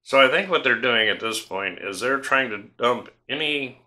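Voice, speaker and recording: A man's voice: mid-range voice, moderate pace, pushed and bright. An older man from Tennessee, talking casually to camera as a homestead vlog host in a folksy, suspicious, rambling way, recorded indoors at home on a desk mic. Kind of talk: casual opinion talk to camera. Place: home studio room indoors.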